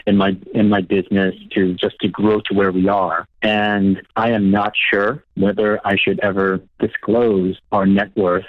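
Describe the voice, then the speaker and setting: A man in his thirties from the United States calling a radio show, explaining himself in a measured, hesitant way. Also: lowish voice, flat delivery, dry recording. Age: 30s. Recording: tinny phone line, dry.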